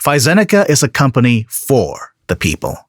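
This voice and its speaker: A man's voice: medium pitch, wildly swooping pitch, normal speed, dry recording, studio quality. A man playing a slick, defensive pharmaceutical boss in an audio drama, polished and self-righteous. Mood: smoothly pious. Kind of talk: scripted audio drama acting.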